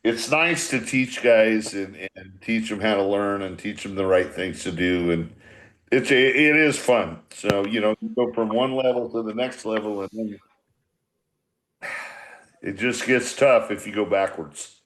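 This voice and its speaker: A man's voice: fairly low pitch, measured pace, a bit bright, rough recording. An American man in his sixties with a blunt, salty, rambling manner, chatting casually as a veteran baseball manager. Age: 60s. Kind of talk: casual profane group chat.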